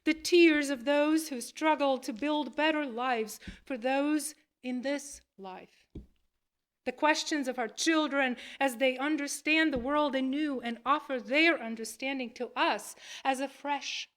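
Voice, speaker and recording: A woman minister addressing a congregation in a church service, reading and speaking in measured, deliberate phrases. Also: high-pitched. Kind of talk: worship address, part spoken, part read aloud.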